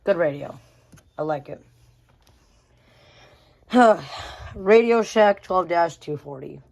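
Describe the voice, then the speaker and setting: A woman's voice: lowish voice, lively intonation, slow, gravelly, a little mellow, lo-fi sound, a little hiss or hum. A woman giving a casual, unscripted show-and-tell of a collection, rambling and often repeating phrases. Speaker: radio collector showing a collection.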